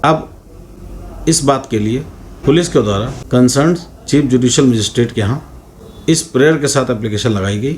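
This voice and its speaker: An Indian man giving an explanatory law lecture in a deliberate, formal way with frequent pauses. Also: lowish voice, darkish tone, slight background noise.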